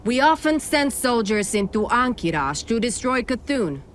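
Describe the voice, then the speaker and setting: A woman's voice: a little high, very animated, normal speed, slight background noise. A woman playing a proud, commanding night elf warrior in a fantasy radio play, speaking formally and curtly. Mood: alarmed, questioning.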